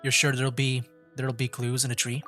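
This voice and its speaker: A man's voice: mid-range voice, monotone, fast talker, pushed and bright, faint music behind. A man streaming a video game with casual, tentative reactive commentary, thinking aloud. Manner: musing, tentative.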